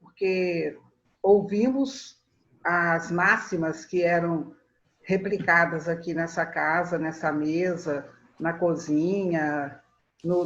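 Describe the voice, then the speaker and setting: Woman in her sixties reminiscing, lo-fi. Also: lowish voice. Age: 60s. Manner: warm reminiscing, laughs often, repeats words when starting. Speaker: guest storyteller. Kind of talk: reflective conversational storytelling.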